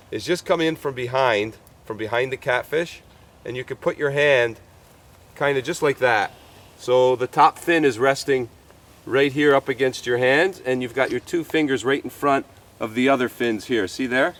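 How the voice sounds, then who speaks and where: A man's voice: medium pitch, moderate pace, a bit bright. Chatty fishing guy in his 50s, bit stuffed up from a cold, talking to the camera outside by a creek. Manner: chatty and folksy, lots of "uh" and "folks". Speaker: fishing show host. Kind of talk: casual outdoor how-to talk to camera. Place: outdoors on a creek shoreline.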